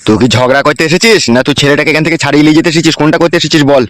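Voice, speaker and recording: An actor playing an angry, blunt village boss in a cartoon comedy, threatening people in a rural West Bengal accent. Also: male voice, fairly high pitch, fairly even intonation, rapid-fire, fairly bright tone, no room sound, clean studio sound, natural voice. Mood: stern, demanding. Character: angry, foul-mouthed male village boss bullying a family.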